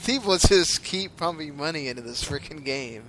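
A man's voice: fairly high pitch, expressive, normal speed, a bit bright, slight background noise, low-quality recording. A man leading a casual gaming podcast, throwing out topics in a loose, sarcastic way. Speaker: podcast host.

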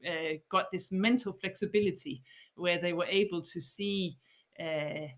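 A woman's voice: on the low side, measured pace. A woman in her fifties with a Danish accent, giving thoughtful interview answers as an author and mindfulness teacher. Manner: thoughtful, explaining at length.

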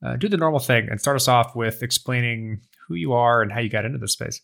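A man hosting a podcast, introducing a guest and cutting in with quick technical corrections. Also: medium pitch, creaky, fairly bright tone. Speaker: podcast host. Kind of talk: casual chat and interview intro.

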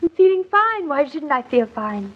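An actress playing a naive, talkative young expectant wife in an old-time radio drama, artless and questioning. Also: female voice, sits high, sing-song, normal speed. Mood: subdued, insecure. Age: young adult. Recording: dull, lo-fi old radio recording.

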